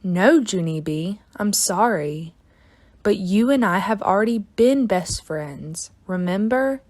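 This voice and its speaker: Vain, bossy first-grade girl voiced by a woman reader, prim and affected, unhurried, lively intonation, storybook. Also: medium pitch, no echo. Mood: smug, dismissive. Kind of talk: children's storybook read-aloud.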